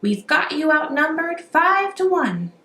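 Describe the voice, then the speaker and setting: Woman playing male robber gang, rowdy, loud, high-pitched, insistent, storybook, echoey. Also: sing-song, measured pace, exaggerated voice. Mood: triumphant shouting. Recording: roomy echo, faint background noise.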